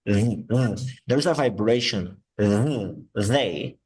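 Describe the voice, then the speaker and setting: A man whose first language is Portuguese, teaching English and slowly repeating words to model their sounds. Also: on the low side, darkish tone, slightly rough. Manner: slow, patient, repeats words to model pronunciation. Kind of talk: online English lesson.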